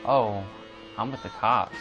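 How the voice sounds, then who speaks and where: Low-voiced man doing casual gaming commentary. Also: measured pace, muffled recording. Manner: rambling, thinking aloud. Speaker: gaming YouTuber. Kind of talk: casual let's play commentary. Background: game music.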